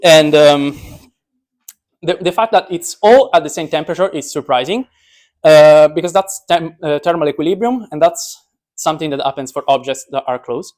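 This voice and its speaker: A man's voice: on the high side, normal speed, darkish tone. Joking young man giving an informal science talk. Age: young adult. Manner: joking, self-deprecating. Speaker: speaker, physics PhD student.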